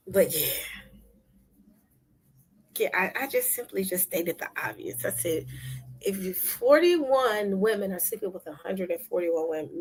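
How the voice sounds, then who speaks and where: A woman hosting a casual livestream chat, talking to her viewers with soft whispery asides and sudden loud bursts. Her voice is husky, as if she is losing it. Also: lowish voice, low-quality recording. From Memphis, United States. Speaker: livestream host.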